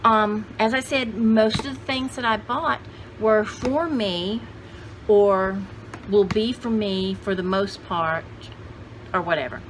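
A woman's voice: mid-range voice, slow, background noise. A woman in her sixties chatting casually as a vlog host, with a folksy, jokey, rambling manner. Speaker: host of a vintage haul video channel. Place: indoor home studio room with shelves.

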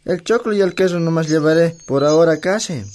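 A man's voice: fairly high pitch, fairly quick, noisy background, close to an everyday voice. An actor playing a polite, friendly young male market customer with a Bolivian accent, talking casually.

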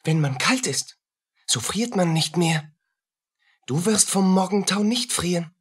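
Husky, brooding soldier. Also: male voice, sits high, expressive, exaggerated voice. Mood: menacing, intimate. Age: 30s. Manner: slow, halting, brooding delivery. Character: haunted, brooding thirty-year-old soldier, poor and fatalistic. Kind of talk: radio play acting.

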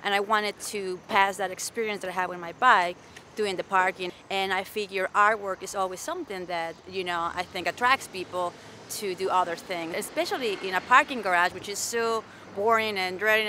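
Artist lady, bright voice, excited, a little background noise. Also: mid-range voice, brisk. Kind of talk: interview.

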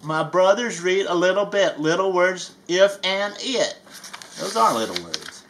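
Someone voicing a folksy Southern alligator storyteller, bright voice. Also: mid-range voice, big pitch swings, slight background noise. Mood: playful. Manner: folksy, playful, drawn-out emphatic delivery. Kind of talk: children's picture book read-aloud.

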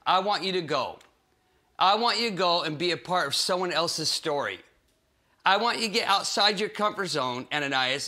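Animated bright-voiced man teaching scripture. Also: on the high side. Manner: animated, acts out voices in the story. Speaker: pastor. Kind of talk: small-group Bible teaching. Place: cozy living room set with bookshelves and sofa.

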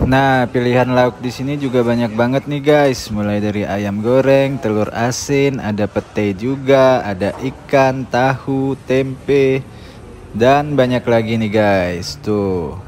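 A man's voice: medium pitch, slow, darkish tone, a little hiss or hum. A man narrating a food tour, cheerfully listing the dishes on offer. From Indonesia. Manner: cheerful, lists dishes to viewers.

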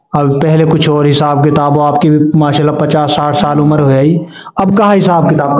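A man's voice: on the high side, a little mellow. A man in his twenties with a Mewati accent, interviewing villagers in a conversational way. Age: 20s. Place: small bare-walled indoor room. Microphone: small handheld mic passed between speakers. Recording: muffled, echoey small room.

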